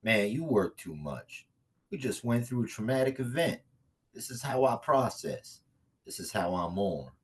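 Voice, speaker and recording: A man in a script table read playing a brash, streetwise agent out for revenge, talking slangy and cocky with a husky voice. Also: mid-range voice, lively intonation, a little slow. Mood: loose, defensive. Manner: slangy, profane, stubborn, cocky. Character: brash, vengeful, hard-drinking lone agent, male, streetwise. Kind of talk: virtual table read of a comedy screenplay.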